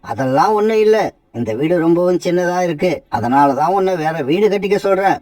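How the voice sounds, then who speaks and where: A woman's voice: lowish voice, animated, fairly quick, a little mellow, no room sound, recorded from a distance, close to an everyday voice. A woman voicing a grown male bird, a weary, henpecked husband in a cartoon, with a pleading, defensive manner. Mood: firm.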